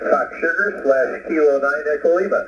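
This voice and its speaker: A man chatting casually as a ham radio operator over a two-way radio, halting and rambling as he goes. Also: on the high side, fairly bright tone. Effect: two-way radio transmission. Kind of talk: casual radio contact chat. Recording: muffled, roomy ham radio signal.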